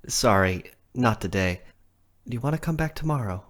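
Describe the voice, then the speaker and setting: A man's voice: mid-range voice, lively intonation, unhurried. An actor playing an affable, chatty man who works in health science, with an informal, chuckling manner. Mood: gentle, reassuring. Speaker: actor in an audio drama. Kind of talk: naturalistic audio drama acting.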